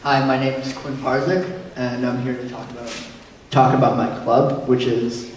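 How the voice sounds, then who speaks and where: A teenage boy presenting his school club haltingly and softly. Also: mid-range voice, flat delivery, a little hiss or hum. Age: teens. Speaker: student club leader. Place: school auditorium. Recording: echoey hall from far off with crowd.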